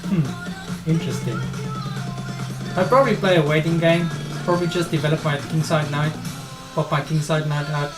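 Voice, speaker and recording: A man's voice: on the high side, moderate pace, pauses often. South African man chatting over music. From South Africa and Australia. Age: middle-aged. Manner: informal, matey, thinking aloud. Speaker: chess streamer and player. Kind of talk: casual live game commentary and chat.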